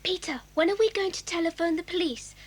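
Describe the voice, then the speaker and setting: A woman's voice: extremely high pitch, fast talker. A woman voicing an anxious, eager young schoolgirl who keeps asking questions.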